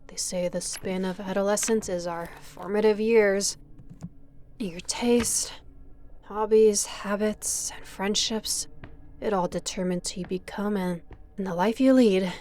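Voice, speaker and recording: Thriller audio roleplay: actress as menacing female keeper, mid-pitched, unhurried, mocking, intimate, breathy whispered turns. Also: fairly even intonation, darkish tone, soft background music, natural voice. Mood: coolly probing. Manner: slow, taunting, drifting into breathy whispers. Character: menacing, mocking female lighthouse keeper taunting her captive.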